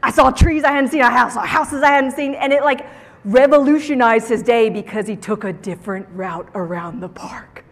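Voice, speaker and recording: A woman's voice: on the high side, normal speed. Woman giving a keynote in an echoey hall. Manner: animated, theatrical, playful emphasis. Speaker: keynote speaker. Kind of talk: motivational keynote talk to an audience. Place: large indoor conference room, on stage. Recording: echoey conference hall sound.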